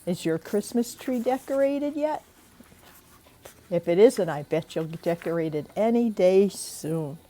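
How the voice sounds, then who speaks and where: An older woman reads a children's storybook slowly and deliberately. Her pitch moves in lively swings, and she turns to her young listeners with asides and questions as a grandmother would. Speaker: grandmother reading a picture book aloud. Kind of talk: expressive children's storybook read-aloud. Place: indoors at home.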